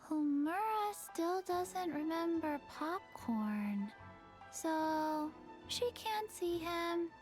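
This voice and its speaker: A woman voicing a sleepy, forgetful little zombie girl in a game, speaking in a slow, flat, drowsy way over background music. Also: very high voice, exaggerated voice. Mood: matter-of-fact. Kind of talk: game voice acting.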